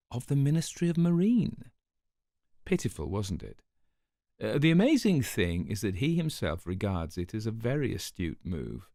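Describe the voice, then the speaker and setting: Sardonic older raconteur for radio drama: low, creaky voice, urbane and precise. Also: male voice, a little mellow, no echo, clean studio sound, exaggerated voice. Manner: urbane, dryly ironic, precise wording. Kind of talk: wry storytelling in a radio drama.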